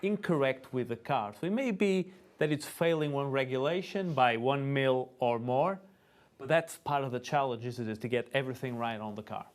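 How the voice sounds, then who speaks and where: A man's voice: mid-range voice, moderate pace. A man with a Portuguese accent, a Portuguese native speaker, explaining the rules and announcing the lanes at a school car racing event, with some hesitation. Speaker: rules official and race announcer. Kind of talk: live event commentary.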